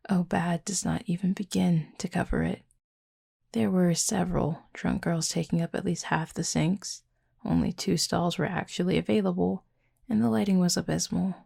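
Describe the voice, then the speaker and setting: Woman voicing a husky, flirty werewolf, deeply gravelly. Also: steady tone, a little mellow, heavily acted. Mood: wry storytelling. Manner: dry, teasing, unhurried, wordy. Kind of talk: intimate audio roleplay, late-night chat.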